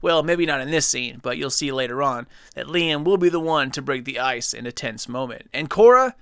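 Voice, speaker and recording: A man's voice: medium pitch, expressive, moderate pace, a bit bright, clean tone, no room sound, studio quality. A young man hosting a gaming channel, chatting enthusiastically and speculating as he breaks down a trailer. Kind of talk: casual video commentary.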